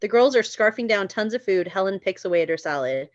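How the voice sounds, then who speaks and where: A woman's voice: mid-range voice, expressive, fast talker, no echo, highs cut off. A woman reading screenplay stage directions aloud as the narrator of a table read.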